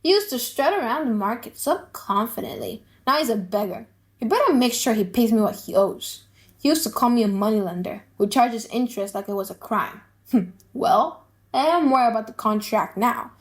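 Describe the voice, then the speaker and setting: A woman's voice: fairly high pitch, animated, normal speed, natural voice. Woman acting a bitter, vengeful old male moneylender. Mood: resentful. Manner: indignant, emphatic, rhetorical. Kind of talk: dramatic audiobook reading of a play.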